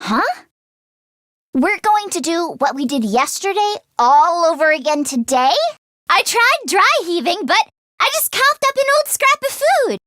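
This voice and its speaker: A woman voicing a nervous, expressive teenage girl fighter in an English video game, with casual youthful phrasing. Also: very high voice, measured pace, dry recording, studio quality, heavily acted. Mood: anxious, queasy.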